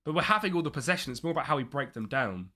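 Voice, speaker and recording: A young man with a bright, forceful voice, talking live over a game stream. He reads out chat messages and muses about tactics in a chatty, easygoing way. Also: mid-range voice, big pitch swings, very fast. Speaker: streamer playing a football management game.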